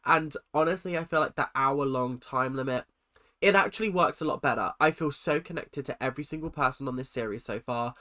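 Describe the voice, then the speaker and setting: A man with a stuffy, nasal voice from a cold, chatting excitedly as he reacts to a show. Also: fairly high pitch. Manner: excitable, chatty, swings between loud exclamations and muttered asides. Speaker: reaction video host. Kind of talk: casual reaction commentary.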